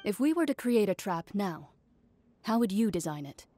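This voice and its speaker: Actress doing composed hunter lady from a game, formal explainer, music pretty loud behind. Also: medium pitch, very animated, moderate pace, lightly acted. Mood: composed, explanatory. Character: composed, knowledgeable woman hunter explaining trap craft. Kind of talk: game voice acting.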